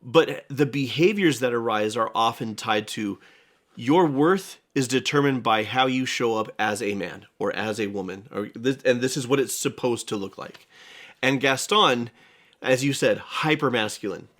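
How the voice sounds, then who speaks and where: A man co-hosting a casual film analysis chat as a therapist, earnest and explanatory, quick to laugh. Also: medium pitch, a bit bright. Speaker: therapist co-host.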